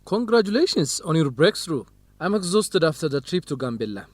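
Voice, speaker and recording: An actor playing an easygoing, good-humoured young field researcher, speaking casually in an educational radio drama. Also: male voice, on the high side, sing-song, a little fast, dry recording. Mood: weary, friendly.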